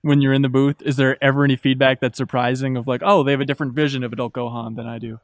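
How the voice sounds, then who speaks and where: A man's voice: medium pitch, little pitch movement, very fast, dry recording. A man in his thirties asking casual questions as a podcast interviewer, close on a studio microphone. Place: podcast room with brick wall and shelves, indoors. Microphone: desk studio microphone, close.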